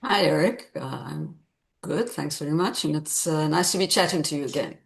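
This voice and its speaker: Woman writer being interviewed, lowish voice, thoughtful and measured, picks her words carefully. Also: expressive, creaky. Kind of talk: conversational interview explanation.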